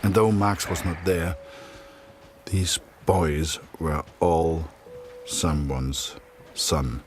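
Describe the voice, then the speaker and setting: An actor playing a grave, weary middle-aged soldier, narrating solemnly and with measure in a dramatic game. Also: male voice, deep voice, fairly even intonation, extremely slow, a little hiss or hum. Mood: grieving, reflective. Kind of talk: scripted dramatic narration, letter-like.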